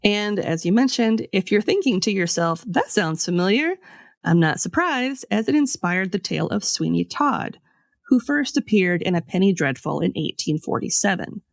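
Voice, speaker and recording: A woman podcast host telling a scripted story in a conversational way, with pauses for dramatic effect. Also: medium pitch, lively intonation, creaky, dry recording, studio quality. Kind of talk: scripted storytelling with casual asides.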